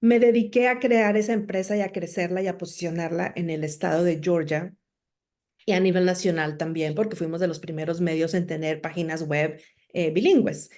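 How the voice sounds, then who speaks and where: A woman's voice: lowish voice, fairly even intonation, fairly quick, a little mellow. A middle-aged woman from Monterrey with a northern Mexican accent, telling her personal story as an interview guest in an articulate, emphatic way. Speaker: podcast guest, entrepreneur and speaker.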